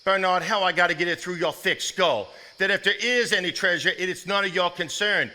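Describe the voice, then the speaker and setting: Family protector, played by a man; stern yet tender, very bright, slightly high voice, folksy stage acting. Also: fairly quick. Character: protective, stern but tender head of household. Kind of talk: live stage play acting.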